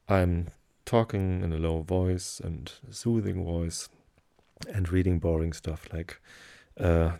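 Deep gravelly man with a German accent. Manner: hesitant, many fillers, slow calm delivery. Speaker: sleep podcast host. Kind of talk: soothing low-voiced reading for sleep.

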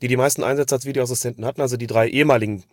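A man's voice: medium pitch, steady tone, rapid-fire, no room sound, studio quality. A man with a slightly congested voice from a cold, giving casual, wordy, considered football commentary on a podcast. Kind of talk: casual analytical podcast talk.

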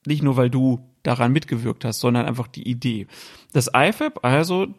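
A man's voice: mid-range voice, expressive, normal speed. A male podcast host leading a casual chat with a jokey, teasing manner.

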